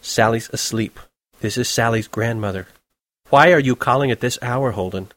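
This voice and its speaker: A man's voice: medium pitch, normal speed, a bit bright, no room sound, close to an everyday voice. A man voicing a cold, stern elderly grandmother over the phone.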